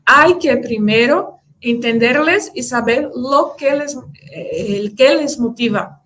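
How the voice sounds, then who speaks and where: A woman from Brazil, a native Portuguese speaker, answering audience questions at a conference in Spanish with a Brazilian accent, halting often with fillers and restarts. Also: on the high side, fairly bright tone. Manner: hesitant, many fillers and restarts.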